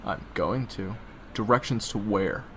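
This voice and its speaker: A young man in an audio roleplay, talking in low, sardonic murmured asides. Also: measured pace, fairly bright tone, slight background noise. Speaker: roleplay voice actor playing assassin roommate.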